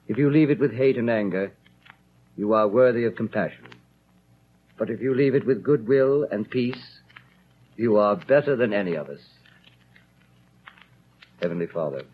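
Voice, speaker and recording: An actor playing a kindly, pious old bishop with a gentle, measured, dignified delivery in a 1930s radio drama. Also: male voice, low voice. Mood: solemn. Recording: dull, faintly noisy 1930s radio recording.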